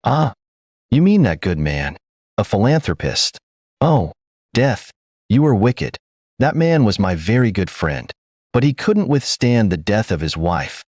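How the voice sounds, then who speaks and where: A man's voice: on the low side, sing-song, a little slow, a little mellow, no room sound, clean studio sound. A man voicing an aging, grieving king. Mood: astonished. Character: aging male king, grieving old friend.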